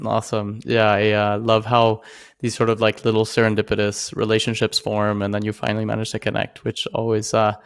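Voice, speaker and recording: A man's voice: lowish voice, monotone, brisk, clear, resonant tone, no echo, studio quality. A man hosting a podcast, introducing his guest in a loose, hesitant way with many "uh"s and "sort of"s. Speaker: podcast host.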